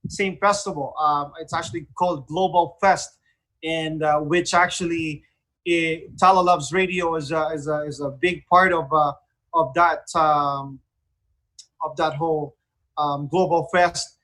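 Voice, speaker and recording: A man's voice: on the high side, steady tone, a little slow, sharp tone, low-quality recording. A man with a Filipino accent chatting casually as the host of a radio talk show.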